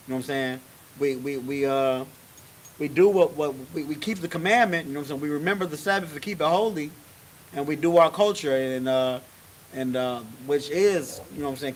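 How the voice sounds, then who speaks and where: Guy preaching loose and chatty, keeps saying you know what i'm saying, bit high, faint hiss behind. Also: rough recording. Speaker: religious teacher leading a service. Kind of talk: casual sermon.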